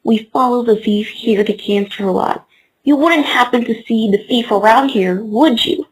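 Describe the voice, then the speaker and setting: A woman's voice: a little high, a little slow, husky, low-quality recording. A woman voicing an earnest, eager young pony in a comic fan dub.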